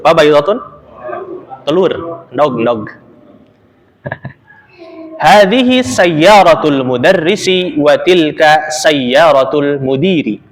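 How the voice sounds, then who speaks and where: A young man teaching an Arabic lesson, chatty and relaxed, laughing often and reading phrases slowly for students to repeat. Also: fairly high pitch, a little hiss or hum. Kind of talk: casual online Arabic lesson, reading aloud for repetition. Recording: long reverberant echo.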